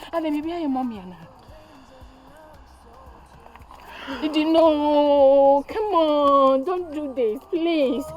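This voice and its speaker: A woman's voice: sits high, dark tone, highs cut off. An actress playing a mother who lectures her child at length, with emphatic repeats. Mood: anxious pleading. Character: stern older mother lecturing, then pleading with her child. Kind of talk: Twi-language family drama acting.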